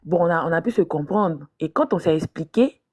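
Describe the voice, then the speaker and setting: A woman with a low, mellow voice speaks as a guest on a live stream. She tells her story haltingly, restarting and repeating phrases as she goes. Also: expressive. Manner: hesitant, restarts and repeats herself. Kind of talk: live-stream interview testimony.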